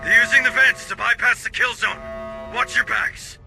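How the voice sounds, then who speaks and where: Game squad leader: commanding, brisk, piercingly bright tone over light music. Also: male voice, extremely high pitch, steady tone, vocal fry, exaggerated voice. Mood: urgent alarm. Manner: authoritative, terse. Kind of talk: scripted military game dialogue.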